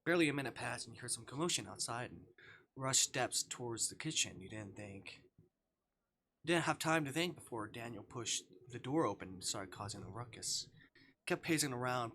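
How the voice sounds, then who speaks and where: A man's voice: medium pitch, background noise. A man streaming, reading visual novel narration and dialogue aloud expressively, with occasional stumbles over the text.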